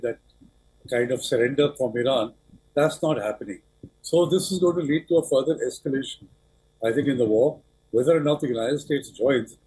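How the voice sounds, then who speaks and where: Very husky-voiced male analyst. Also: medium pitch, mellow, rough recording. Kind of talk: news interview.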